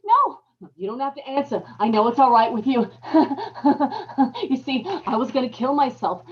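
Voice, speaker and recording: A high-voiced woman in an old-time radio horror drama, desperate and wracked with guilt. She pleads in broken phrases and cries out, and the recording is dull and lo-fi. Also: sing-song, moderate pace. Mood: nervous, frantic relief.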